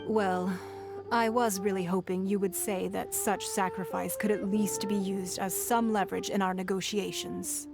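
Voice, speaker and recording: Woman playing a calm shrewd lady leader, formal and composed, soft music under. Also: mid-range voice, normal speed, gravelly, close to an everyday voice. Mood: diplomatic. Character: poised, shrewd female city leader. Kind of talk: video game voice acting.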